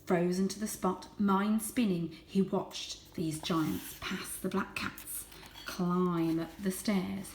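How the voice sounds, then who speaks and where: Expressive woman storyteller in an echoey room. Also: on the low side, takes it slowly, background noise. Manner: expressive, hushed for suspense, lingering on key words. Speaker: storyteller reading a children's book. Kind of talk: dramatic storybook narration. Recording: roomy, echoey sound.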